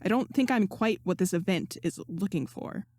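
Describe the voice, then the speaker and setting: A woman voicing an embarrassed, grumbling young man in a story, deadpan and sarcastic. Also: mid-range voice, moderate pace, no echo, natural voice. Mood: wary.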